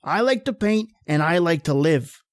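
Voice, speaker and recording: An actor playing a wry, world-weary painter with a morbid wit, in a theatrical old-time radio horror style. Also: male voice, fairly high pitch, lively intonation, normal speed, dry recording, clean studio sound, lightly acted.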